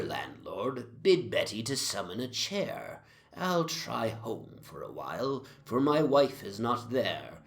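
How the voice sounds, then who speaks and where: A man's voice: on the low side, creaky, a bit bright, full character voice. A man voicing a tipsy, jovial Georgian tavern reveler, declaiming bawdy drinking verse with theatrical swagger in a dramatic audio reading. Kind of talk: theatrical rhymed drinking-song recitation.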